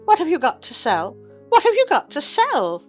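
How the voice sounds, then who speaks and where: A woman's voice: sits high, huge pitch swings, normal speed, faint music behind, pitched above the performer's own voice. A woman voicing a grey-haired old rascal of a junk dealer in a storybook reading, sly and wheedling. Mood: sly, eager.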